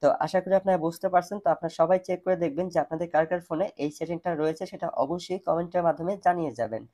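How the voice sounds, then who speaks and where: A man's voice: on the high side, racing, mellow. A man hosting a phone tutorial, explaining step by step in a repetitive, instructional way. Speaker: tech tutorial host.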